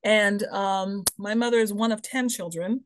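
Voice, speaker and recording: A woman from the Bahamas, a podcast guest telling personal stories in a lively way that keeps checking in with "right?" and "you know". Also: mid-range voice, measured pace, slight background noise, poor audio. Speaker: guest, human potential expert. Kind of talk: podcast interview, personal storytelling.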